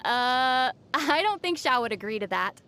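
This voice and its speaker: A woman voicing a young female traveler in a video game, composed and playfully teasing, with quick wit. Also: high-pitched, sing-song, pushed and bright, heavily acted. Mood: amused. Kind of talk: video game character dialogue.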